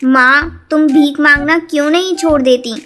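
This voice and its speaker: A woman's voice: high-pitched, steady tone, moderate pace, a bit bright. A woman voicing an earnest, childlike little daughter bird in a children's cartoon. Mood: upset. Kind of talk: Hindi children's cartoon voice acting.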